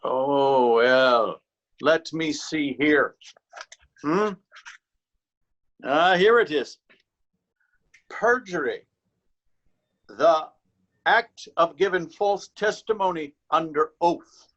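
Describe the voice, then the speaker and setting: A suspicious, self-important policeman played by a male actor in a readers theater play. He questions deliberately, on a slightly dull recording. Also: on the high side, lively intonation, a bit bright, full character voice. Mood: pompous, probing. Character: officious, suspicious Dublin policeman. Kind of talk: readers theater stage acting.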